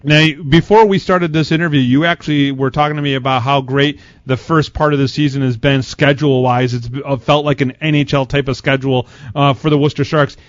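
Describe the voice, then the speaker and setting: A man's voice: medium pitch, steady tone, brisk, few pauses, no echo. A man hosting a conversational hockey radio interview, chatty and wordy with a joking way about him. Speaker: sports radio show host, interviewer. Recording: dull, lo-fi radio sound.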